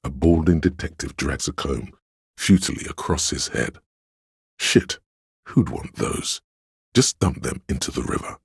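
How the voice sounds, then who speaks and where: A man playing a solemn, all-knowing inner-voice narrator in a video game, with a gravelly, husky voice and a measured, literary delivery. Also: very deep voice, no echo, clean studio sound, exaggerated voice. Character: omniscient, solemn inner-voice narrator of police camaraderie. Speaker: voice actor narrating a character's inner voice.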